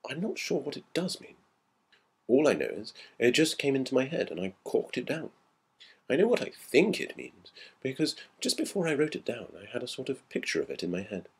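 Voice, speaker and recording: A man's voice: mid-range voice, very animated, a little fast, breathy edge, voice pushed up, heavily acted. A man voicing a puzzled, earnest schoolboy who chats in old slang within a ghost story reading. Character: schoolboy recounting an odd episode, puzzled and earnest. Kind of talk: audiobook character dialogue in a ghost story.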